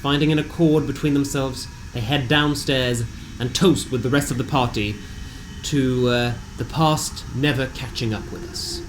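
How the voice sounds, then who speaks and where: A man reading a scripted fantasy game recap as a storyteller, with deliberate, theatrical phrasing. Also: medium pitch, steady tone, slight background noise. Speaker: narrator of a tabletop game session recap. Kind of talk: scripted dramatic storytelling narration. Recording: music bed behind the voice. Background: background music.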